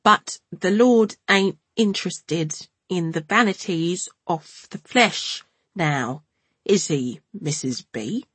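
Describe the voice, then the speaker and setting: Woman doing a nasal, slow Cockney preacher. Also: lowish voice, animated, breathy edge, dry recording, heavily acted. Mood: sanctimonious. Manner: slow, painfully enunciated, sanctimonious. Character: pompous young male preacher, slow and nasal.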